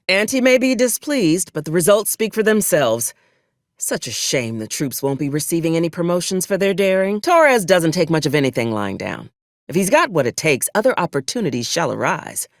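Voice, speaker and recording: A ruthless, wry military officer with a very lively, swooping delivery, recorded close and dry. She is an ambitious video game character who mixes stiff antique phrasing with folksy frontier slang. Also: deep voice, no echo. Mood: stern, calculating. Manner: formal archaic diction mixed with folksy frontier slang.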